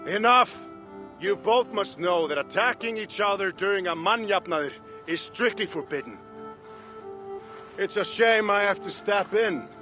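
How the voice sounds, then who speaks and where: A man playing a stern, commanding Viking jarl in a video game, speaking with measured authority. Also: sits high, animated, sharp tone, soft background music, full character voice. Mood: stern anger. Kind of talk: dramatic video game acting.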